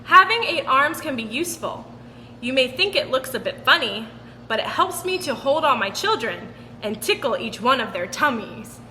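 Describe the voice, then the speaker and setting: A cheerful storybook mother octopus voiced by a woman, high and lively. She is doting and playfully sing-song, in a reverberant recording. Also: normal speed, exaggerated voice. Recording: roomy long reverb with background noise.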